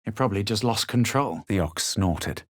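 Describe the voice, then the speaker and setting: An actor voicing a self-assured, haughty adventurer and spellcaster in a fantasy video game, with a husky voice and measured, theatrical delivery. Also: male voice, fairly low pitch, lively intonation, no echo, clean studio sound. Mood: grave, measured. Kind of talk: fantasy video game voice lines, combat barks and narrated recollections.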